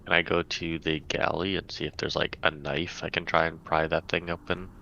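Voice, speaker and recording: A man with a very deep, forceful voice and a gravelly edge chats as a player in a tabletop game. He asks his questions tentatively. The recording is dull and lo-fi. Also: level tone, moderate pace. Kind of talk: casual game-table chat. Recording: dull lo-fi mic with faint noise.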